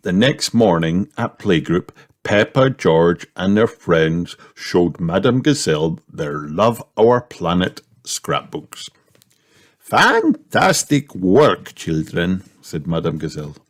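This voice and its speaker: A man's voice: sits low, animated, takes it slowly. A man narrating a children's storybook aloud as an audiobook reader.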